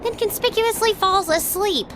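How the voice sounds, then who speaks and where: High-voiced, blunt young schoolgirl. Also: normal speed, noisy background. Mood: exasperated. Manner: blunt, chiding. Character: blunt, exasperated young female student. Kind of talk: video game cutscene dialogue acting.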